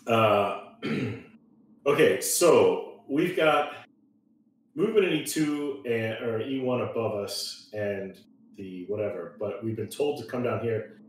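A man's voice: on the low side, animated, takes it slowly. A man chatting casually as a player in a tabletop roleplaying game. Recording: very echoey room, faint noise.

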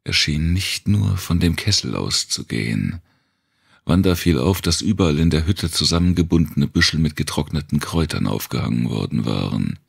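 A man reading a dark thriller audiobook in a deliberate, suspenseful storytelling manner. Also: a bass voice, sing-song, creaky, slightly rough, no echo, studio quality. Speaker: audiobook narrator.

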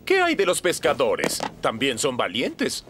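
An actor playing a bumbling, proud fisherman father in an animated cartoon dub, a man. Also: medium pitch, unhurried, background noise.

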